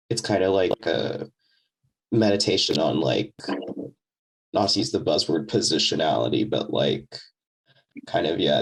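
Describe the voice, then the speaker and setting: A young man talking as a podcast guest in a casual, rambling way, full of fillers and quick laughs, with a deep voice. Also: creaky, breathy edge, low-quality recording. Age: young adult. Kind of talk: casual conversation.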